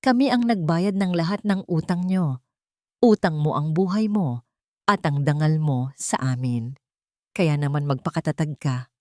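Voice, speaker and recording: A woman's voice: low-pitched, measured pace, warm tone, no echo, clean studio sound. A woman voicing a stern, domineering elderly mother-in-law in an audio story, commanding and reproachful. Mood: cold, reproachful.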